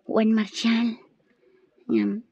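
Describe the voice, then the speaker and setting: A dull, roomy radio recording carries a high, husky young student. She is lovestruck and wistful, speaking hesitantly and emotively in an Ilocano radio drama. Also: little pitch movement, very slow, warm tone, exaggerated voice. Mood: worried. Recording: dull lo-fi sound, roomy echo.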